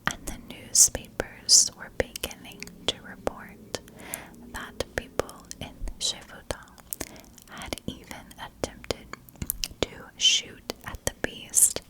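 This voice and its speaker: Slow whispering woman, ASMR storyteller. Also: poor audio. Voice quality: soft unvoiced whisper. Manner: slow, deliberate, broken into short phrases. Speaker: ASMR storyteller, channel host. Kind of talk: whispered ASMR narration of a historical mystery.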